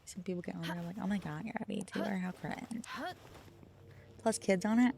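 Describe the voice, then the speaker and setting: A woman's voice: medium pitch, moderate pace, vocal fry, warm tone, slight background noise. A woman VTuber streamer chatting casually with her chat, swearing often and poking fun at herself. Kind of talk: casual livestream chat, answering chat.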